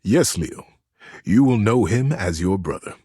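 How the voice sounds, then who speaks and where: A man as ancient celestial elder, male, grave but kindly, measured intoning, slightly rough voice, storybook game character. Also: fairly low pitch, expressive, mellow, no room sound, clean studio sound, pitched below the performer's own voice.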